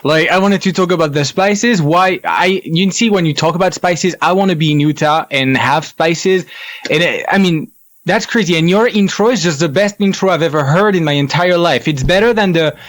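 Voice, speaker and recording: A young man from France with a French accent, chatting as a podcast guest, excitable and eager to jump in. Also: fairly high pitch. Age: young adult. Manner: excitable, quick, laughs often. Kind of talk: casual chat.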